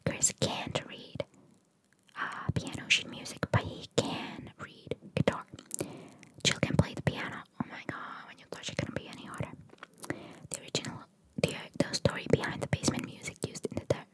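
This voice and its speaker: A woman whispering in an ASMR style, reading trivia aloud with chatty, informal asides in a breathy, gravelly whisper. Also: moderate pace. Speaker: ASMR video host.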